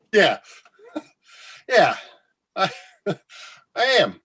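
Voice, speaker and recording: Casting: male actor for a sarcastic, sheepish radio co-worker, husky, slow hesitant delivery, comedy radio drama. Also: high-pitched, wildly swooping pitch, pushed and bright, exaggerated voice. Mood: sentimental, teasing. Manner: halting, hesitant, stammering.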